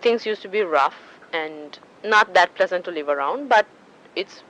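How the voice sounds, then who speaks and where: A woman's voice: on the low side, expressive, normal speed, a bit bright, rough recording. A woman in her twenties from Sri Lanka, chatting casually about her life in an interview, with frequent restarts and fillers. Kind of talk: casual personal storytelling.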